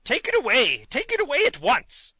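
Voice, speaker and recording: A woman voicing an excitable, indignant little gnome king in a theatrical storybook reading. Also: mid-range voice, normal speed, no echo, studio quality, heavily acted. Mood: alarmed, blustering.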